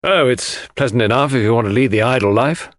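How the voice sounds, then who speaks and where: A man voicing an exasperated, outspoken English country gentleman with slangy upper-class talk in an audiobook. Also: medium pitch, a little fast, no echo, studio quality, natural voice. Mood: genial. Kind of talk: audiobook character dialogue.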